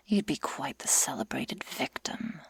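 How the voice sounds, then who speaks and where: A woman playing a sadistic, menacing werewolf girl in an ASMR roleplay, taunting in a breathy whisper with slow, mock-sweet deliberation. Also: a bass voice, animated, no echo, full character voice. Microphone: close microphone.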